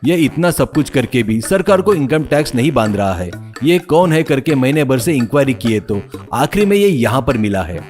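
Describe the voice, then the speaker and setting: A man's voice: mid-range voice, very animated, moderate pace, hardly any pauses, a little mellow, soft background music, natural voice. A man playing a stern income tax officer in a cartoon story, explaining firmly and with authority.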